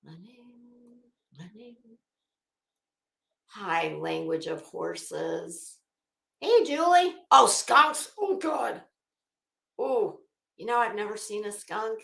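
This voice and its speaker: Bright-voiced, chatty woman in an echoey room. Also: medium pitch, big pitch swings, slow pace, vocal fry, pauses often. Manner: chatty, teasing banter, salty language. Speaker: livestream host. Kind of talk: casual livestream chat, greeting viewers.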